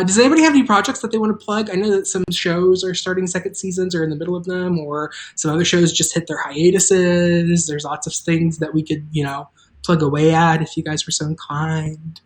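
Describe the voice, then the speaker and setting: A host chatting casually on a livestream, making announcements in a loose, informal way that trails off mid-thought. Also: mid-range voice. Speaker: host and creator of an audio drama.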